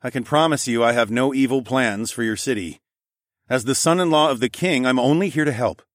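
A man's voice: medium pitch, a little fast, no echo, studio quality, pitched above the performer's own voice, close to an everyday voice. A man voicing a confident, amused young lord, the casual hero of a fantasy audiobook. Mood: reassuring. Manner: cocky, chuckling, casual.

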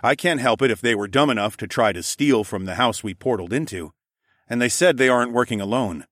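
A man's voice: medium pitch, fairly quick, a bit bright, no echo, lightly acted. Guy playing a cocky young fantasy lord, casual and amused, dry close audiobook sound. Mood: amused, retorting.

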